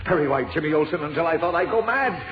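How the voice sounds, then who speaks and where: Radio drama: male actor as desperate, agitated older American newsman, highish voice, muffled roomy vintage recording. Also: expressive, fast talker, lightly acted. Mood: distraught, pleading. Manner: stammering, agitated. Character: frightened, flustered older newspaperman, trembling and desperate. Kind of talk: 1940s radio acting.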